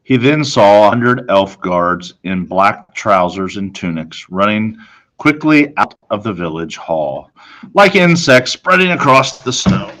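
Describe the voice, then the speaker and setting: A man with a fairly low voice reads a children's novel aloud. His delivery is expressive and dramatic, with deliberate phrasing suited to storytelling. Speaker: story reader, teacher.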